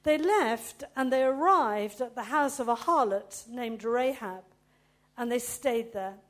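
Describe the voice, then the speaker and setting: A woman preaching a chatty sermon, loud, reading a Bible story aloud. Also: a little high, slow.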